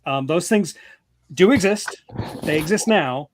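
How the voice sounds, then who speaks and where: A man's voice: on the high side, expressive, a little slow, slight background noise. A man talking in a casual group chat, thinking aloud earnestly with lots of "like" fillers. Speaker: author and stream regular.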